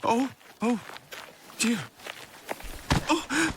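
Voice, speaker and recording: A man playing a nervous, stammering young male student in a fantasy audio drama, timid and quick to apologise. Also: very high voice, background noise, pitched above the performer's own voice, full character voice. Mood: panicked, apologetic.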